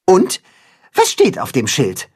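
A man's voice: very high-pitched, huge pitch swings, a little slow, breathy edge, pitched above the performer's own voice, exaggerated voice. A man voicing a plucky, decisive young chicken who leads friends in a children's story. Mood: urgent, decisive.

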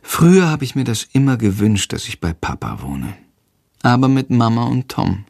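A man's voice: medium pitch, sing-song, normal speed, vocal fry, darkish tone, no echo, studio quality. A man voicing a sullen, hurt boy. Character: hurt, stubborn older boy. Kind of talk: audiobook character voice.

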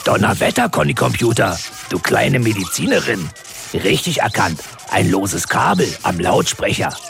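Very gravelly kindergarten boss, jovial and dry, voice jumps around, kids radio play. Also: male voice, fairly low pitch, very animated, moderate pace, a bit bright, soft background music, exaggerated voice. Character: man, genial dry-witted handyman kindergarten director.

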